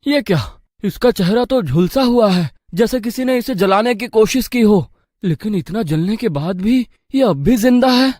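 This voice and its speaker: Man playing a high-pitched, excitable young villager. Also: normal speed, dry recording, clean studio sound. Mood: amazed. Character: excitable, self-assured young village men in a horror tale. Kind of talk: acted horror-comedy story dialogue.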